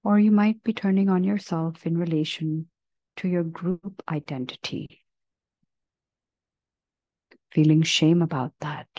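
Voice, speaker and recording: Woman doing guided meditation, soft mellow tone, gentle n measured w long pauses, dry slightly muffled recording. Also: fairly low pitch, steady tone, dry recording.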